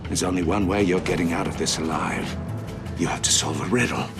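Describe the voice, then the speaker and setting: An actor playing a sinister, theatrical older villain who taunts with riddles in menacing, deliberate phrases in a video game. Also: male voice, low-pitched, steady tone, lightly acted. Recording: loud music behind the voice.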